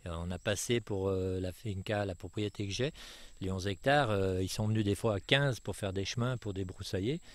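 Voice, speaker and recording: A man's voice: deep voice, normal speed, no echo. A retired Frenchman in his sixties being interviewed outdoors, talking with many fillers and restarts. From France. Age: 60s. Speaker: retired property owner. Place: outdoors on a jungle estate.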